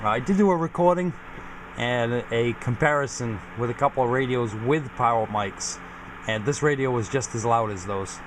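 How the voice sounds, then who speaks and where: A man's voice: mid-range voice, moderate pace, a little hiss or hum, poor audio. A man giving a casual, informal equipment walkthrough as a hobbyist video host, explaining things plainly. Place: home garage.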